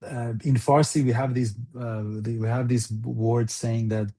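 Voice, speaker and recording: A man giving an earnest interview as a guest, speaking deliberately and haltingly, repeating phrases for emphasis. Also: mid-range voice, level tone, warm tone. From outside Iran. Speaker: interview guest, former national football team interpreter.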